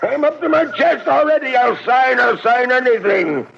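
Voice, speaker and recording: A panicky man, really high creaky voice, begging and wailing, old noisy radio play. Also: animated, normal speed, dull audio, heavily acted. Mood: desperate pleading. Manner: pleading, gasping, wailing. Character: panicked Irish man sinking in a bog, begging. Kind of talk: old radio drama acting.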